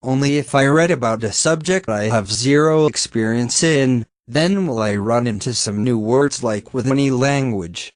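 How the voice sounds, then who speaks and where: A man's voice: mid-range voice, moderate pace, few pauses, no echo, clean studio sound. A man, a native Finnish speaker, giving blunt, sarcastic reaction commentary on a video and swearing now and then.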